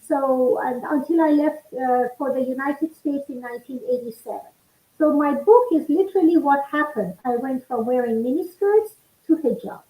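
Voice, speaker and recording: A woman in her sixties from Iran speaks high and bright in pitch, explaining things in a measured, patient way. Her voice rings in a roomy space, and the video call audio sounds dull and muffled. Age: 60s. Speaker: author and guest. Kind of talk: interview answers.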